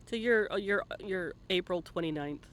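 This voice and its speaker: A man's voice: high-pitched, normal speed, a bit bright. A man hosting a casual live interview with playful, teasing banter. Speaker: interviewer and stream host.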